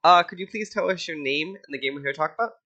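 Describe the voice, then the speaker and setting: A man's voice: on the high side, fast, pushed and bright. A man hosting a game interview podcast, sounding nasal from a cold and joking casually with his guests. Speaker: podcast host. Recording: dull, muffled audio.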